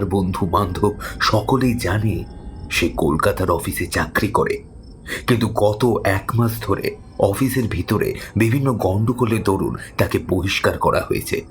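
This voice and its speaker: Male narrator for ghost stories; deep, fry-edged, scripted and suspenseful delivery over slight cricket noise. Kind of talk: scripted horror story narration.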